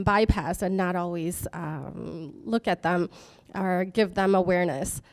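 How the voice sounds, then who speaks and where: Woman founder, clear resonant tone, earnest and hesitant delivery at a panel. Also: medium pitch, darkish tone. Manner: earnest, halting with many fillers. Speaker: startup founder. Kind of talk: personal story at a talk. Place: office event space with audience.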